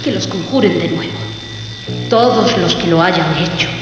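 An actress playing a dead woman returned as an eerie, pleading ghost in a radio horror drama, with a slow, urgent, solemn delivery. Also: fairly low pitch, natural voice. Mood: ominous warning. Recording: cavernous reverb, muffled radio, loud music.

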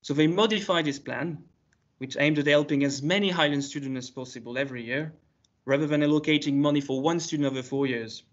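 A man presenting a prepared academic paper at a conference, reading in a measured way with occasional restarts. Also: fairly high pitch. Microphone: video call. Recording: slightly muffled video call audio.

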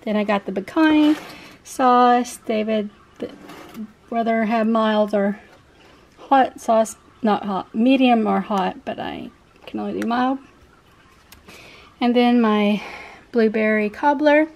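A woman's voice: on the high side, takes it slowly, vocal fry, pauses often, a little mellow, a little hiss or hum. A young woman chatting casually and unscripted in a rambling, self-correcting way as she shows products to a handheld camera in a home kitchen. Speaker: vlogger, parent showing a grocery haul.

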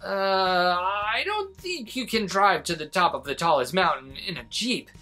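A man voicing a timid, bookish young boy mouse with a squeaky, hesitant delivery in a children's storybook. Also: expressive, a little slow, pushed and bright, faint music behind, heavily acted. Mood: nervous. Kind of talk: children's storybook read-aloud.

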